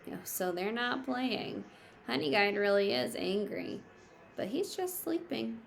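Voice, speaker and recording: Slow-paced woman reading a storybook aloud. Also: fairly high pitch, vocal fry. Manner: expressive storytelling, explains simply to children. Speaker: teacher reading aloud to pupils. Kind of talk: picture book read-aloud with teaching asides.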